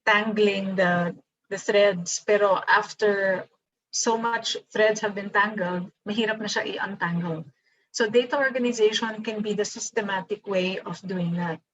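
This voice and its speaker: A woman's voice: mid-range voice, fairly bright tone. A woman with a Filipino accent giving a workshop lecture over a video call, switching between English and Tagalog and thinking aloud. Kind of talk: online seminar lecture. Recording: dull lo-fi video call audio.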